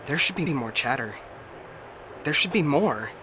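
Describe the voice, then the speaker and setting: A man's voice: a little high, lively intonation, unhurried, noisy background. A man playing a broken, paranoid ship AI in a science fiction audio drama, stammering and getting stuck repeating words. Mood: anxious. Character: frightened, broken male ship AI, paranoid and unstable.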